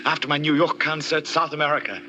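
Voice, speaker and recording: An actor playing an obsessive, anguished concert pianist in 1940s radio horror, with a melodramatic, halting, intense delivery. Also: male voice, a little high, level tone, pushed and bright. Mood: fervent. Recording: noisy old radio drama recording.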